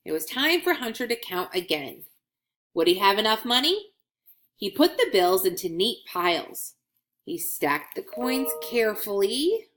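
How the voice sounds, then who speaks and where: A woman's voice: mid-range voice, sing-song, measured pace, fairly bright tone. A woman narrating a children's storybook as a read-aloud. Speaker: storybook reader.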